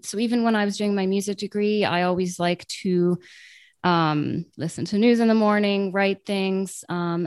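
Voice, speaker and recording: A woman's voice: mid-range voice, no echo, clean studio sound. A woman in her late twenties, raised between Italy, the US and Ireland, answering interview questions in a chatty way with many ums and self-corrections. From born in Italy, raised in Italy, the US and Ireland. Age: late 20s. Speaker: guest, translator and radio journalist.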